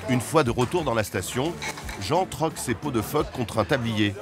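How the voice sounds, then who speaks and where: A man giving scripted, measured narration for a television travel report. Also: medium pitch, animated, music in the background. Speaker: documentary narrator.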